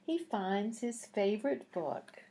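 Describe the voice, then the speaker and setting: A woman's voice: mid-range voice, expressive, takes it slowly, dark tone. A woman reading a picture book aloud to young children in a teacherly way, putting questions to the listeners.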